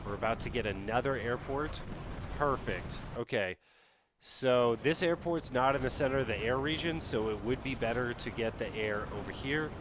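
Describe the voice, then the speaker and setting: A man's voice: mid-range voice, moderate pace, noisy background. A man streaming a strategy game live, talking casually and thinking aloud as he plays. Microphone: headset.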